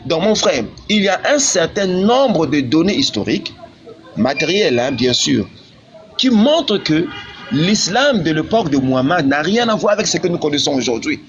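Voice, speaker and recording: A man's voice: on the high side, sing-song, normal speed. A man debating religion heatedly, speaking in an insistent, repetitive, argumentative way over a live online connection. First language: not Arabic. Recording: muffled lo-fi call, background noise.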